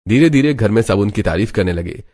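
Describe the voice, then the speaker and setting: Storyteller: man with a lowish voice, narrating a scripted cartoon family drama. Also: animated, a little fast, darkish tone.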